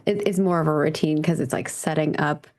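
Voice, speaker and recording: A woman playing a tabletop game, casually describing her character in a halting way as she searches for words. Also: sits low, a little mellow.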